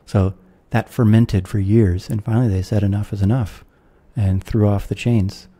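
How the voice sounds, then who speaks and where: A thirty-something American man talks through ideas at a measured, explanatory pace. His voice is dark and mellow with a touch of huskiness. He speaks into a studio mic on a video call. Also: on the low side, steady tone, husky. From United States. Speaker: guest philosopher. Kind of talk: podcast interview. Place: room at home with guitars. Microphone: studio mic with headphones, video call.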